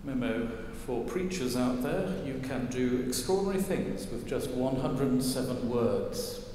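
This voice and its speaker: An older man preaching a sermon from a church lectern, wry and gently humorous as he tells stories. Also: mid-range voice, expressive, unhurried, a little hiss or hum. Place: large stone church, at a lectern. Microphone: lectern mic, far camera. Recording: echoey church with long reverb.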